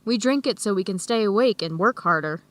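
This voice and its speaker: An actress playing a friendly, even-tempered American woman who explains things in a measured way in an educational radio drama. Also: mid-range voice, no echo, lightly acted. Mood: thoughtful. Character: friendly American woman hosting Ethiopian friends, easygoing, tolerant. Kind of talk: educational radio drama dialogue, clearly worded for learners.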